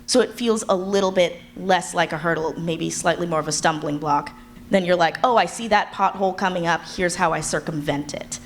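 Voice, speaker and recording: A woman's voice: lowish voice, fairly quick. A woman speaking as a panelist at a live event, giving candid, encouraging advice in casual talk. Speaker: audio drama creator, panelist. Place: live convention panel before an audience. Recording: live panel with background room noise.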